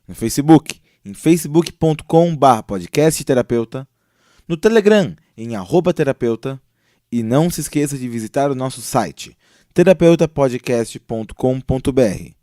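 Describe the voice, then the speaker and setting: A man's voice: mid-range voice, dark tone, no room sound. Man reading podcast credits, dry close sound. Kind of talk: scripted outro announcement.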